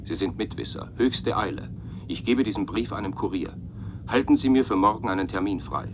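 An actor voicing a talking machine that recites dictated letters aloud, punctuation included, in a radio play. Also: male voice, fairly low pitch, fairly quick, lightly acted. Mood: reciting, urgent. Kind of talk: German radio play acting. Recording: clearly noisy radio play recording.

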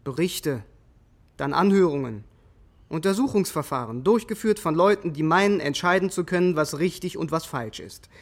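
High-voiced, defiant soldier. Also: male voice, lively intonation, moderate pace. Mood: defiant, bitter. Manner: contemptuous, clipped. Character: defiant, unrepentant soldier on trial. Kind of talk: radio drama dialogue.

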